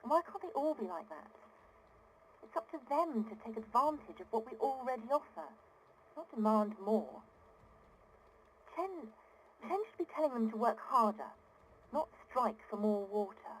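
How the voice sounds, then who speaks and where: Woman acting a high-voiced, exasperated city founder. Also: expressive, moderate pace, long pauses, a little hiss or hum, close to an everyday voice, poor audio. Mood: frustrated, pleading. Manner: indignant, articulate, emphatic, with weary sighs. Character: exasperated female founder of an ocean city, earnest and privileged. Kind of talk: dramatic video game audio diary acting.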